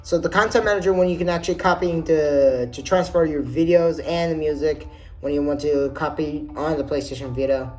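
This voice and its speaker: Rambling, excitable male gaming vlogger. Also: a little high, a little fast. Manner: rambling, enthusiastic, frequent restarts. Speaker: gaming YouTuber. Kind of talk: casual menu walkthrough.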